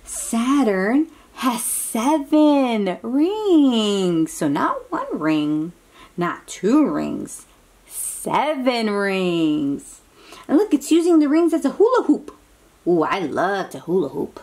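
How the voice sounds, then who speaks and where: A woman hosting a picture-book read-aloud for young children, exclaiming and asking coaxing questions in an animated manner. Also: on the high side, takes it slowly.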